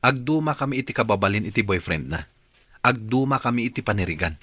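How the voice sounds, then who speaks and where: A thrifty, patient but exasperated boyfriend in a radio drama. His Ilocano-accented voice is bright and forward, with lively swings in pitch. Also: fast, no room sound. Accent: Filipino, Ilocano.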